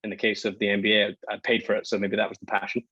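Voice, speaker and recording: Flat-voiced Scottish man, low and even. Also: racing, breathy edge, dry recording, highs cut off. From Scotland. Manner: hesitant, many filler ums, self-deprecating. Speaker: startup founder guest. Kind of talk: casual interview talk.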